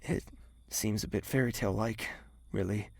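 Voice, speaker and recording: A man's voice: fairly low pitch, fairly even intonation, normal speed, gravelly, a little mellow, a little hiss or hum. A man playing a tender, wistful young lover in an audio drama, speaking hesitantly and earnestly. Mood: wistful, gentle.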